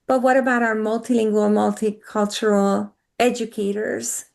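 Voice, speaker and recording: A woman's voice: mid-range voice, level tone, normal speed, creaky, a little mellow. A woman whose first language is Hungarian, speaking earnestly as a panelist in an online discussion over a video call.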